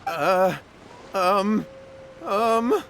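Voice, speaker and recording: A man's voice: sits high, drawn-out words, gravelly, fairly bright tone, slight background noise, exaggerated voice. A man voicing a nervous, evasive young villager who stammers and hesitates, in a video game.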